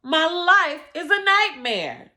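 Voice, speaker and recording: Lady doing a poor storybook guy at wits end, loud high wailing, begging, drags out words. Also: very animated, heavily acted. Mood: frantic, desperate. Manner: shrieking, wailing, drawn-out pleas. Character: poor unfortunate man, desperate, frantic, pleading, overwhelmed. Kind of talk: dramatic storybook read-aloud.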